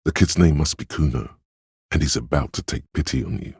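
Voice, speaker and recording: An actor voicing a grave, compassionate inner voice in a video game, narrating with measured, solemn deliberation in a husky, gravelly tone. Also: male voice, rumbling low, lively intonation, darkish tone, no room sound, clean studio sound, full character voice. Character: Empathy, a man's inner voice, grave, compassionate, knowing.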